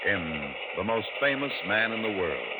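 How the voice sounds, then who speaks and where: Casting: male American old-time network announcer, lowish voice, loud noise on the broadcast recording. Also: big pitch swings, normal speed. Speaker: radio announcer. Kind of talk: 1940s radio announcing. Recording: very noisy vintage radio recording.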